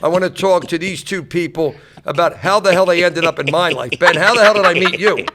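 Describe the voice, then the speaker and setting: A man with a New Jersey accent hosts a comedy podcast, his voice sitting a little on the high side. He roasts his guests in loose, joking banter. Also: brisk, bright, forceful tone. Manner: roasting insult-comic jokes. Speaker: podcast host.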